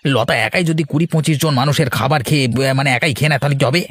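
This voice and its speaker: A man's voice: mid-range voice, fast talker, a bit bright, breathy edge. A man playing an exasperated village feast server in a cartoon, nagging and scolding irritably. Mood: complaining. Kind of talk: Bengali cartoon dialogue. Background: background music.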